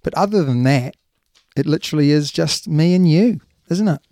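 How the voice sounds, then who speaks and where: A man's voice: a little high, normal speed, dark tone, dry recording, studio quality. A man co-hosting a casual rugby podcast, chatting with his mate and reading out listener emails. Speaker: podcast co-host. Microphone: studio podcast mic.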